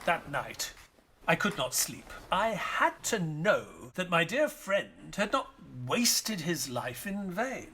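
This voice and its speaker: An actor playing an elderly retired doctor and author in an audio drama, narrating in a measured, solemn, wistful way. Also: male voice, a little high, big pitch swings, bright, forceful tone, slight background noise, exaggerated voice. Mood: reflective, solemn. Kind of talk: dramatic first-person scripted narration.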